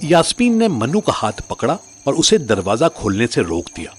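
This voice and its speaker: A man's voice: medium pitch, huge pitch swings, moderate pace, faint music behind. A man narrating a scripted story in a dramatic storytelling style.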